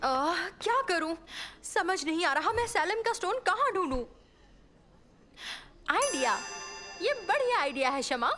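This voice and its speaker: A woman voicing a curious, helpful young girl in an animated cartoon, with an expressive delivery. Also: very high voice, a little slow, pauses often, soft background music, full character voice. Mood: puzzled, thinking.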